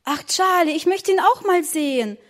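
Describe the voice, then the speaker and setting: Woman reading as a little girl, high childlike voice, begging, storybook. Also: expressive, normal speed. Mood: pleading. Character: little girl, eager and pleading.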